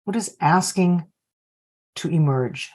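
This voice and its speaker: A woman's voice: on the low side, takes it slowly, warm tone. A woman coaching leaders on a video call, reflectively posing open questions to the group. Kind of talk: workshop facilitation.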